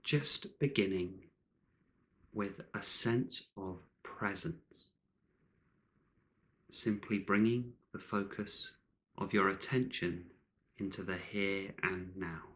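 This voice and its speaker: A man leading a guided meditation in calm, measured short phrases with long pauses between them. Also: sits low, low-quality recording.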